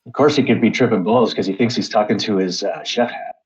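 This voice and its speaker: A man's voice: on the low side, fairly quick. A man playing a tabletop game, talking hesitantly and restarting his sentences. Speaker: player. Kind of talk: casual tabletop game chat. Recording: dull lo-fi sound.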